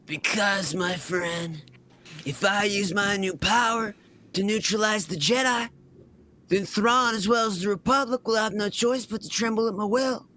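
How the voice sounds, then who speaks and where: A man's voice: high-pitched, unhurried, pushed and bright. A man playing an imperious, power-hungry Sith warlord with a pompous, commanding manner in a fan fiction reading.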